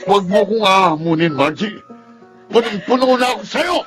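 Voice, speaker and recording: A man's voice: high voice, lively intonation, moderate pace, music in the background. A man playing a weary, defensive young husband in an audio melodrama, exasperated, with a Filipino accent. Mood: angry, exasperated. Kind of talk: melodramatic audio drama acting.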